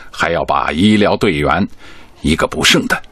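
An actor playing a hearty, resolute middle-aged hospital director in a Chinese radio drama, avuncular and declarative. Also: male voice, rumbling low, moderate pace, a bit bright. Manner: avuncular, declarative, punctuates with questioning grunts.

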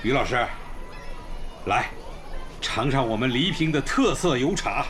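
Casting: male actor as welcoming, apologetic rural school principal for radio drama, very animated melody, music underneath. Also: mid-range voice, measured pace. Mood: hospitable. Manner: courteous, welcoming. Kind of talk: Chinese radio drama acting. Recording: music bed behind the voice.

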